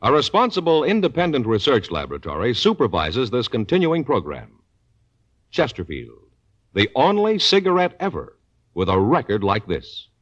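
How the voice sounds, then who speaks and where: A man delivers a 1950s radio commercial on a dull vintage recording. His mid-range voice swoops widely as he presses each phrase with deliberate emphasis. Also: medium pitch, huge pitch swings. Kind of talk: scripted 1950s radio advertisement.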